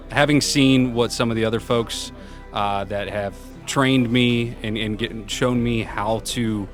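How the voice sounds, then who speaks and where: A man with a loud, carrying voice answering interview questions in an informal, upbeat, self-effacing way as a senior program manager. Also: medium pitch. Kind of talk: casual conversational interview answers. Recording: music playing behind the voice.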